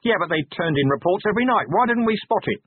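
A weary, sceptical police commander from a storybook, performed by a man. He is blunt and impatient, with a husky edge and a lot of movement in pitch, heard through thin, phone-like audio. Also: expressive, quick pace, no room sound. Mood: indignant. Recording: narrow-band, phone-like audio.

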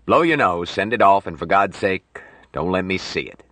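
Male reader as old sardonic doctor, curt, bossy, deep, audiobook character, dry sound. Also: animated, moderate pace, a bit bright, dry recording. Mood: brusque. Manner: curt commands and dry wisecracks.

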